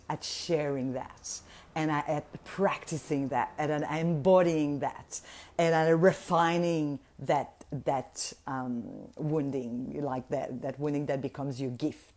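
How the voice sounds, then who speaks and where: A woman's voice: deep voice, mellow. A woman with a French accent giving a gushing, effusive motivational talk to an online audience, often restarting her sentences. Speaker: guest speaker and mentor.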